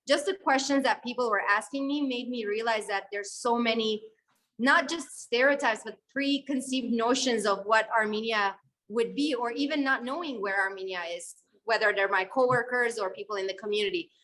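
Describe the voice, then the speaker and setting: Bright-voiced chatty woman from Syria. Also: on the high side, rough recording. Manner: animated, many fillers and restarts. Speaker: guest videographer. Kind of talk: conversational interview answer.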